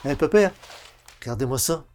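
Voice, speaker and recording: A man's voice: fairly high pitch, lively intonation, normal speed, darkish tone, slight background noise, lightly acted. An actor playing a polite, eager young bookshop salesman in a French radio comedy, deferential, with a Quebec French accent. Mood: eager, selling.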